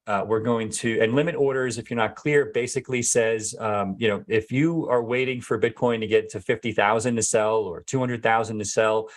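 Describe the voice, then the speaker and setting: Hoarse man answering viewer questions. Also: on the low side, fairly even intonation, moderate pace, hardly any pauses. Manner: hesitant, many fillers and restarts. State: losing his voice. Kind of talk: casual live Q&A.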